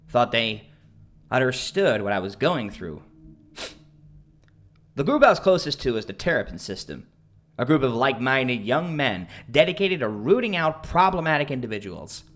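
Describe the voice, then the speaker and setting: Volatile, bitter young misogynist. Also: male voice, mid-range voice, very animated, moderate pace, fairly bright tone. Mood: smug, fervent. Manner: stammering, dramatic, self-pitying. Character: bitter, boastful young male internet misogynist, pleading then ranting. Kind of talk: audio drama acting.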